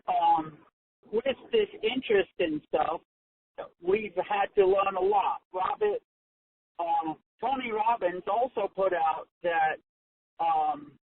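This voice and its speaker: A male caller giving a casual comment in a slightly halting way over the telephone. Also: high-pitched, slight background noise. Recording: tinny telephone line.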